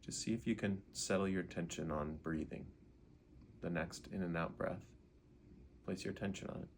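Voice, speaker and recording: A man leading a guided meditation in an unhurried way, gently asking questions and leaving pauses. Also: deep voice, steady tone, a little hiss or hum.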